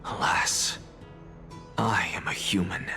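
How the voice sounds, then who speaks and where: A man voicing a proud, defiant professor in a dramatic video game, speaking in a grandiose, deliberate manner. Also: sits low, fairly even intonation, pushed and bright, whispered, soft background music, full character voice. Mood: proud, mocking. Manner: grandiose, deliberate, theatrical delivery. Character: proud, theatrical male scholar and blasphemer, defiant and clever.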